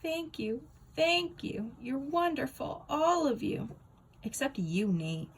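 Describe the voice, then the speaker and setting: A woman's voice: sits high, big pitch swings, takes it slowly, pitched above the performer's own voice, full character voice. Woman acting out a smug, drawling schoolgirl rival. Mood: mock gracious. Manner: sneering, theatrical.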